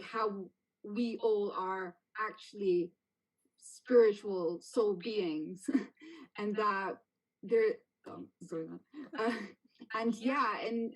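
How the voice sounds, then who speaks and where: A woman's voice: mid-range voice, low-quality recording. Fields: Sex: woman. Role: podcast host. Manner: reflective. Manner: soft-spoken, reflective, many fillers. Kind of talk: casual live podcast chat.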